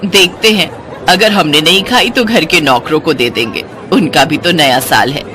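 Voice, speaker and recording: A woman's voice: low-pitched, moderate pace, crowd noise, voice pushed down, natural voice. A woman voicing a haughty, condescending older mother-in-law in a moral-story cartoon, talking in a pointed, patronizing way.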